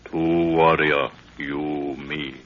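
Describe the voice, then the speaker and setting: An actor playing a loyal, stoic African warrior guide who speaks terse broken pidgin English in a 1930s radio drama. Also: male voice, a bass voice, level tone, extremely slow, exaggerated voice. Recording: noisy dull 1930s radio recording.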